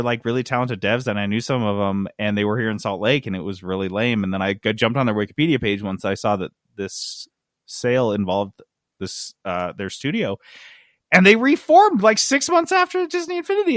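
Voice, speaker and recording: A man's voice: mid-range voice, big pitch swings, a little fast, a bit bright, dry recording, studio quality. An American man hosting a casual gaming news chat, sardonic and prone to sweary outbursts. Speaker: podcast host.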